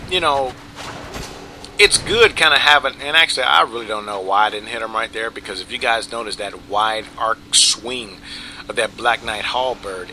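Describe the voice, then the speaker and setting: A man giving relaxed, rambling commentary over his gameplay, full of "you know" and "right?" fillers. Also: mid-range voice, noisy background. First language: English.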